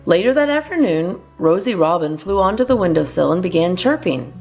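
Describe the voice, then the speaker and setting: A woman narrating a children's picture book as a storyteller, in an expressive scripted reading. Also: lowish voice, brisk, soft background music. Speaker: children's storyteller, channel host.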